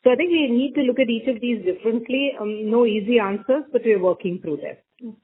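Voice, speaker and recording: A woman's voice: on the high side, fairly quick, husky. Through a narrow phone line comes a woman. She gives unscripted, explanatory answers as a senior bank executive on an earnings call, qualifying her points as she goes. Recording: thin phone conference line.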